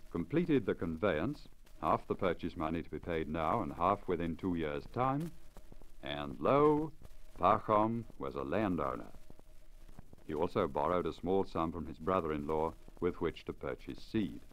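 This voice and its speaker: Deep-voiced man reading a story, expressive. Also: a little slow. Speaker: story reader. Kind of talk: scripted storybook narration, 1950s radio reading. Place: radio recording onto disc. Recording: crackly old 1950 lacquer disc.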